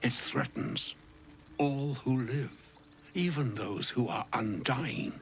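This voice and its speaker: A man's voice: mid-range voice, expressive, a little hiss or hum. An actor playing an ancient, gluttonous wizard in a video game, an old man with a florid, pompous, theatrical way of talking. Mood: grave, solemn. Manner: pompous, florid, wordy, halting when uneasy. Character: ancient, gluttonous, grandiloquent old wizard, grave yet fussy.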